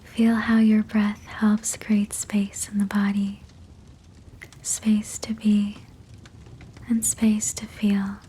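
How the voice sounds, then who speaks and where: Whispering woman guiding sleep hypnosis, very slow. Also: mid-range voice, completely flat delivery, slight background noise, rough recording. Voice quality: breathy, unvoiced whisper. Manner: soothing, gentle, measured phrasing. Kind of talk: guided sleep meditation, whispered.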